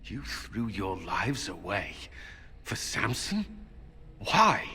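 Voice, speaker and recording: Low-voiced, grave fantasy army commander. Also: male voice, sing-song, slow pace, bright, forceful tone, a little hiss or hum, heavily acted. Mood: incredulous. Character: stern, earnest male military commander of a fantasy army. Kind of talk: dramatic video game dialogue.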